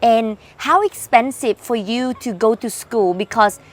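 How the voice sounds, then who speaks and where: A woman's voice: fairly high pitch, a little slow. A woman from Vietnam hosting an interview, chatting and asking questions in broken English. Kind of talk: casual interview chat.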